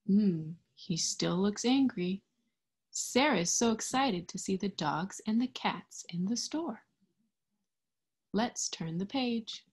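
Slow, expressive woman reading to children. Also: mid-range voice, slightly rough. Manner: expressive, deliberate, child-directed teacher talk. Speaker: picture book reader for children. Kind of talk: read-aloud storytelling with simple commentary and questions for young children. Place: indoors in front of a green screen.

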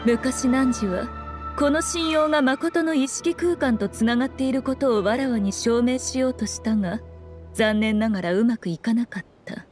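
A woman voicing a sly, regal fox shrine maiden in an anime game, with measured, knowing, old-fashioned diction. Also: a little high, music in the background, natural voice. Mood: cool, pondering. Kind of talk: Japanese anime game voice acting.

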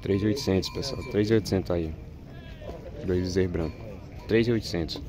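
Lower-voiced Brazilian man filming cattle. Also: brisk, music in the background. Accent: Pernambuco Brazilian Portuguese. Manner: chatty, keeps calling out prices to his audience. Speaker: vlogger filming a cattle market. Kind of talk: casual running commentary to viewers. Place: outdoor cattle pens at a livestock fair. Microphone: handheld phone camera.

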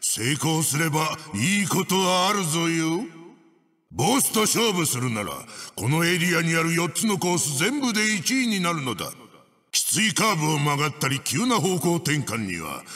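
Evil mask spirit from a racing game, pompous and bossy, sharp forceful voice going up and down a lot. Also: male voice, a little high, sing-song, moderate pace, big-room sound, faint music behind, heavily acted. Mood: commanding. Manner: commanding, archaic pompous phrasing. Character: evil wooden witch-doctor mask spirit, bossy and menacing. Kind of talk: video game character voice-over, giving racing instructions.

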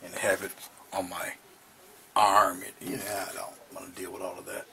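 Low-voiced man murmuring through a painting lesson. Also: normal speed, gravelly. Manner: relaxed, talks while he paints, drops to low murmurs over the brush work. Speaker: painting instructor. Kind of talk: casual painting demonstration. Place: small home studio, indoors.

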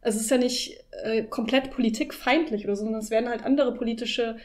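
A woman with a soft, mellow tone and a fairly high voice. She co-hosts a casual discussion podcast. Her phrasing is informal and colloquial even when she is analysing. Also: fast. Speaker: podcast host.

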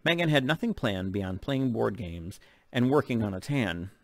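A man's voice: medium pitch, sing-song, moderate pace, gravelly, no room sound. A middle-aged man reading a novel aloud in an audiobook narration style. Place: open living room at home. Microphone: desk microphone close in front.

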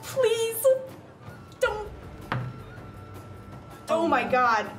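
A woman's voice: extremely high pitch, sing-song, drawn-out words. A young woman chatting casually as a player in a tabletop roleplaying game. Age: young adult. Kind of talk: casual, animated game chat. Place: indoor studio set at a table. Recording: roomy sound with music behind.

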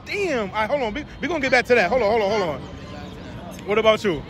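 A man's voice: high-pitched, lively intonation, quick pace. Brash male street interviewer, noisy crowd. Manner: brash, laughing, repeats "hold on" and "wait". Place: busy city square at night, outdoors. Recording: loud crowd and street noise.

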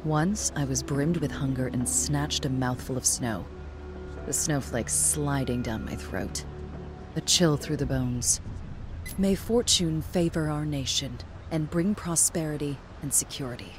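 A woman's voice: low voice, a little slow, music in the background. A woman voicing a stoic, devoted young royal guard in a video game, with formal, restrained, solemn delivery. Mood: solemn, reserved. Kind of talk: scripted video game character voice lines.

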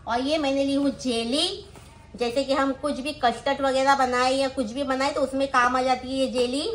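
A woman's voice: high voice, level tone, normal speed. A middle-aged woman with a Mumbai accent chatting casually as a vlogger, explaining things and checking in with little questions. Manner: explanatory, tags phrases with questions. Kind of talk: casual grocery haul chat. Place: small room indoors, seated on floor. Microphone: phone held by someone nearby. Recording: roomy echo, faint background noise.

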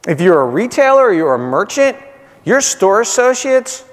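A man's voice: sits high, big pitch swings, normal speed. Man, forties, conference keynote, varied intonation, punchy repeated phrases, long hall reverb. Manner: punchy short phrases, repeats key points, often tags statements with "right?". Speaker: keynote speaker, marketing executive. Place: large hotel conference stage, indoors.

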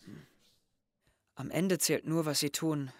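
An actor playing a brooding, hesitant teenage schoolboy in a naturalistic audio drama. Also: male voice, on the high side, fairly even intonation, takes it slowly, dry recording. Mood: pensive, subdued.

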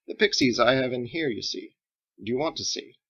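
A man's voice: medium pitch, expressive, fairly quick, fairly bright tone, breathy edge, highs cut off. A man voicing a playful, theatrical cook who teases slyly and pretends at wizardry in a storybook reading. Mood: sly, mischievous. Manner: teasing, sly, hammy.

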